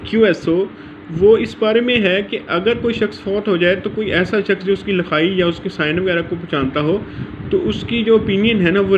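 A man's voice: on the high side, highs cut off, slight background noise. A man with a Pakistani accent gives an informal law lecture from notes, mixing English legal terms into his speech.

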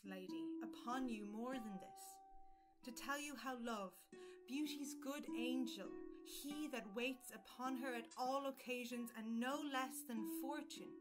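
Woman acting a bold courtly suitor in old verse drama, high voice, very theatrical, music behind. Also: poor audio. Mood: ardent. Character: bold, eloquent young gallant wooing a married lady. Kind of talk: early modern stage verse in an audio drama.

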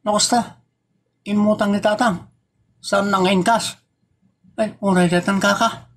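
A man's voice: high voice, fairly even intonation, a little slow, a bit bright. A man playing a defensive, flustered old village father in a comedy drama, protesting with exclamations in an Ilocano accent.

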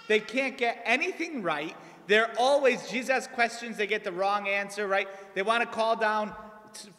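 A man's voice: sits high, pushed and bright. A man in his thirties, a priest giving a casual, conversational homily from a pulpit, often checking in with "right?". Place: large church, at a pulpit. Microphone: pulpit mic on a stand. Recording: long church reverb.

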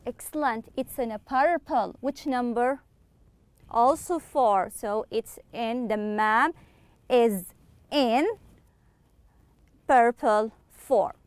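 A woman teaching English to children online, slowly repeating words and praising her pupils. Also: on the high side, clear, resonant tone, no echo. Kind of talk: online classroom lesson.

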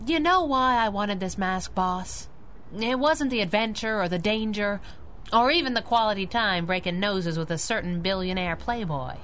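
An actress playing a feisty, protective young crimefighting sidekick with slangy, wisecracking tough talk in a radio drama. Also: female voice, mid-range voice, normal speed, a bit bright, a little hiss or hum, lightly acted. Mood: earnest, heartfelt. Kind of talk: old-time radio drama acting.

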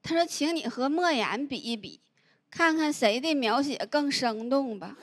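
A woman, doing a stand-up comedy set into a handheld mic on a large stage, telling stories deadpan and landing punchlines. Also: sits high, normal speed. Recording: live audience laughter noise. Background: audience laughter.

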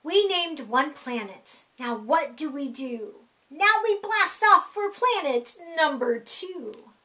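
A middle-aged woman reading a rhyming children's picture book aloud in an animated, sing-song, deliberate way for young kids. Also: high-pitched. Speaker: library storytime reader. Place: small indoor room.

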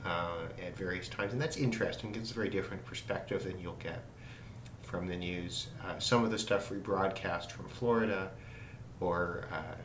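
A man giving a casual, halting, unscripted radio demonstration, musing as he goes. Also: low voice, a bit bright. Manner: halting, musing delivery with trailing thoughts. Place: indoors, small room at a desk. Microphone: camera mic some distance away. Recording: roomy, distant mic with radio static noise.

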